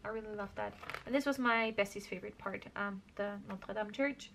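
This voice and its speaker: A woman's voice: medium pitch, fairly quick, creaky, a bit bright, a little hiss or hum. A young woman from Austria casually talking through her scrapbook album, rambling and correcting herself as she goes. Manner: rambling, self-correcting, chatty. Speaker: craft vlogger.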